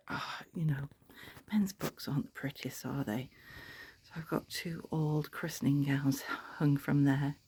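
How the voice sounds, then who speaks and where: Soft-spoken sniffly English woman. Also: sits low. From England. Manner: soft, often half-whispered, chatty. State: sniffly with hay fever. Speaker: home tour vlogger. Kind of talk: casual home tour narration. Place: cottage bedroom, indoors.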